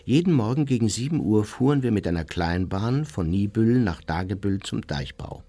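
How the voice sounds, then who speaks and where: An actor playing an unrepentant Nazi war criminal who recalls his youth in measured, matter-of-fact radio drama narration. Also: on the low side, animated, mellow, husky. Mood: self-justifying, factual.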